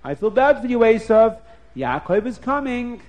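A man's voice: very high voice, sing-song, normal speed, darkish tone, pitched above the performer's own voice. A man voicing a scheming, complaining old man who whines and exclaims in a storybook tale. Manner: whiny, exclaiming with oys.

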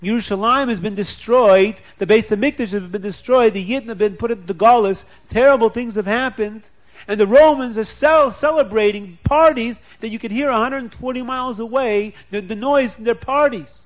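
A man's voice: high voice, animated, moderate pace, pitched above the performer's own voice, low-quality recording. A man voicing a group of grieving ancient male sages, upset and questioning insistently. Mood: distraught.

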